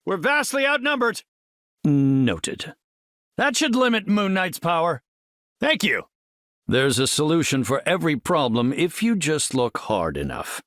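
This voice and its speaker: An actor voicing a brainy, earnest middle-aged superhero scientist for a video game, articulate and formal, with scientific vocabulary. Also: male voice, on the high side, big pitch swings, a little slow, a bit bright, no echo, studio quality. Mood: urgent, focused, then measured, explanatory.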